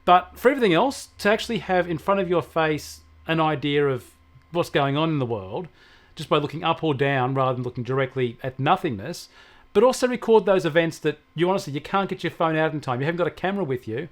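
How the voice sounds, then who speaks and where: Higher-pitched Australian man explaining tech. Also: animated, quick pace. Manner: explanatory, uses everyday examples. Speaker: technology expert guest answering questions. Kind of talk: conversational explanation of technology.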